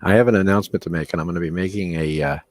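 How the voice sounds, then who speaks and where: Low-voiced man casually hosting a livestream. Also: very fast, dry recording. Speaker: live stream host.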